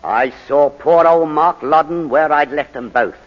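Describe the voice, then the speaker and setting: An actor playing a blunt, slangy ex-soldier on the witness stand in a 1940s radio drama. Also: male voice, fairly high pitch, measured pace, lightly acted. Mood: matter-of-fact. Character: blunt, shifty English ex-soldier testifying in court. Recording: muffled old 1940s radio recording.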